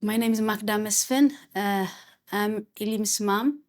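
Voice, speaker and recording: A woman's voice: mid-range voice, unhurried. A mother from Ethiopia or Eritrea, speaking hesitantly with many fillers in a community discussion. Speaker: parent.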